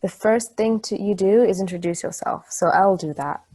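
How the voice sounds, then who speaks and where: A woman's voice: mid-range voice, normal speed, darkish tone, close to an everyday voice. A woman playing a friendly, patient character in a table read, reassuring and conversational. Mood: gentle, reassuring. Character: friendly, patient woman, gently encouraging newcomer.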